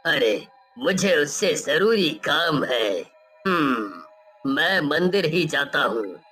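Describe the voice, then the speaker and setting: A woman's voice: low-pitched, big pitch swings, takes it slowly, fairly bright tone, breathy edge, soft background music, pitched below the performer's own voice, full character voice. A woman voicing a stern, angry village father in a cartoon story, scolding and commanding. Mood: brusque. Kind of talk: Hindi cartoon story acting.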